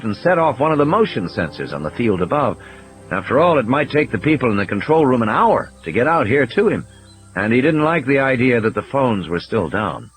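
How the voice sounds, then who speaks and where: Very animated male audiobook narrator. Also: mid-range voice, low-quality recording. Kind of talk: dramatic scripted narration. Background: music and sound effects.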